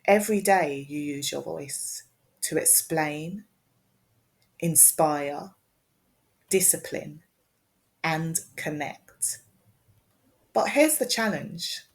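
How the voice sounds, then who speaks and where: A woman, a speech and language therapist, giving a measured, scripted presentation that explains as it goes. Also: low-pitched, creaky.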